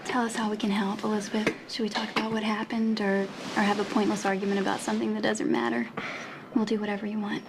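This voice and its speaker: A woman's voice: mid-range voice, flat delivery, quick pace, noisy background, lightly acted. An actress playing a stubborn, loyal young woman in a TV soap, speaking earnestly and insistently. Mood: supportive. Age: young adult. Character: stubborn, loyal, plucky young female hospital patient. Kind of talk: TV soap opera acting. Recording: music behind the voice.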